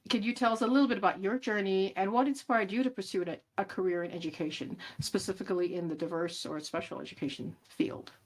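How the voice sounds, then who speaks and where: A woman hosting an interview talk show, giving a measured, formal introduction of her guest and asking questions. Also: mid-range voice, fairly even intonation, poor audio.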